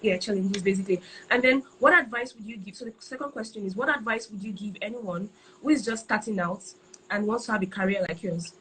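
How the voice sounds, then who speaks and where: A woman's voice: mid-range voice, fairly quick, a bit bright, slight background noise, poor audio. A woman hosting a live-streamed interview who eagerly repeats and rephrases her questions. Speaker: interview host.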